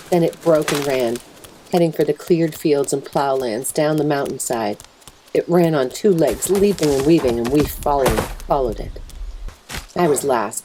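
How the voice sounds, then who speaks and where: A woman voicing an anguished, plainspoken mother wolf in a dramatic first-person story reading, grave and emotive, with a husky, breathy voice. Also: extremely low pitch, background noise. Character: mother wolf telling of her husband's horrifying change, anguished, plainspoken.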